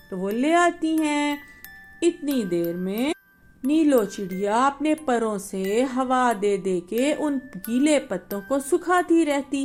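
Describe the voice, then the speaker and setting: A woman narrating a bedtime story for children in a simple style, addressing her young listeners directly. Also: high voice.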